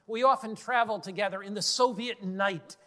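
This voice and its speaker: A man's voice: high voice, a little fast, bright, forceful tone. A man preaching a sermon in an earnest, emphatic way, building on repeated phrases. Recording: long hall reverb.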